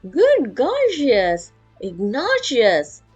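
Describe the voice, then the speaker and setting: A woman voicing an expressive mother in a children's storybook, exclaiming dramatically at her son. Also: on the high side, extremely slow, breathy edge, highs cut off, voice pushed up, exaggerated voice. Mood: delighted. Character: mother, first delighted, then disgusted with her son. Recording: music playing behind.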